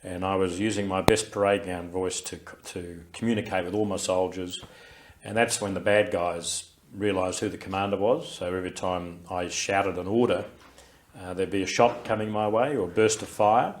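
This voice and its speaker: An older Australian man being interviewed, recalling his experiences in a slow, reflective way with frequent fillers and restarts. He has a loud, carrying voice. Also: deep voice, fairly even intonation. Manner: reflective, unhurried recollection with many fillers and restarts. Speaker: interviewee, war veteran. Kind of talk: recorded oral history interview.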